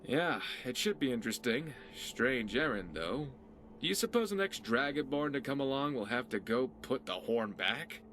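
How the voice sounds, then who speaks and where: A man's voice: medium pitch, fairly bright tone, a little hiss or hum. A man playing a wry, curious, easygoing pirate companion in a video game, talking in a sardonic, conversational way. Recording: background music under the voice.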